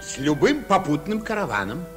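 An actor playing a jolly, boastful, self-important adventurer in an old radio play, theatrical and exclamatory. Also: male voice, high voice, big pitch swings, fairly quick, faint music behind. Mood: jolly, bustling. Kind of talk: mid-century Soviet radio play acting.

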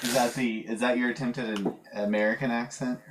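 Male stream host, easygoing, relaxed, middle pitch, game commentary. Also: a little fast, rough recording. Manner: relaxed, easygoing, laughs along.

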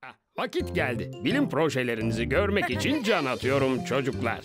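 A man's voice: medium pitch, big pitch swings, fairly quick. A man voicing an encouraging, cheerful schoolteacher in a children's cartoon dub. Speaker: teacher. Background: cartoon music.

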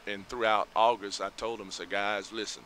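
Low-voiced coach. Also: male voice, flat delivery, bright, forceful tone, a little hiss or hum. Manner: measured, reflective, many fillers. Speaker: head football coach. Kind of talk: postgame interview. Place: outdoors by a school building at night. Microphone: headset mic.

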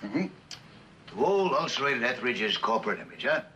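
An actor playing a bitter, disillusioned middle-aged executive husband in a television drama, with a halting, sardonic delivery. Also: male voice, mid-range voice, very animated, bright, forceful tone, a little hiss or hum. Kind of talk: 1960s television drama acting.

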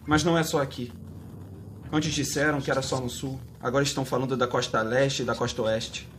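A man's voice: medium pitch, steady tone, a little fast, fairly bright tone, natural voice. Man acting a rattled getaway driver, noisy ambience. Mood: uneasy, informative. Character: rattled, talkative uncle driving the getaway car. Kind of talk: fan-dub game acting in Portuguese.

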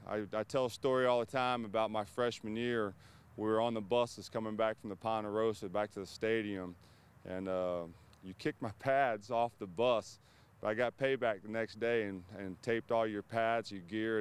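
A man in his forties recording a relaxed congratulations message outdoors in a park with a handheld mic. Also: lowish voice, clear, resonant tone. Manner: relaxed, unhurried, a few ums. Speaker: former player sending a video message.

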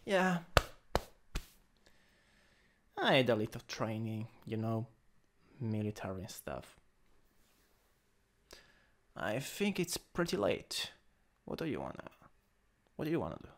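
A man's voice: lowish voice, sing-song, a little slow, pauses often. A man with an Italian accent playing a possessive, teasing, dominant older stepbrother in an improvised ASMR roleplay. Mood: teasing, commanding. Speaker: roleplay audio performer.

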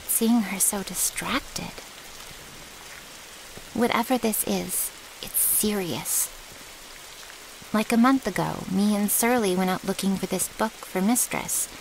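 A woman's voice: mid-range voice, creaky, poor audio. A woman playing a cheeky, loyal vampire's servant in an intimate ASMR audio roleplay, with a casual, teasing way of talking. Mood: worried. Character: cheeky, flirty, loyal female thrall of a vampire. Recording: hissy, rain-like background noise.

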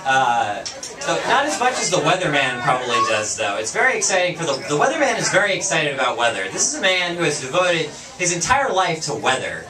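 A fairly high-voiced young man works a casual stand-up set, slipping into quick little character asides. He is picked up from across an echoey bar room. A lively crowd laughs around him. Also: recorded from a distance. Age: young adult. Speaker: stand-up comedian. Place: small bar stage with audience. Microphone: handheld mic, far camera.

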